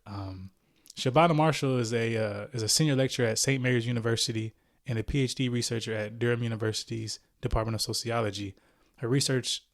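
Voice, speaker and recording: A man in his forties hosting a podcast, reading a guest's introduction in a chatty, playful way, with fillers and self-corrections. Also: medium pitch. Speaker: podcast host.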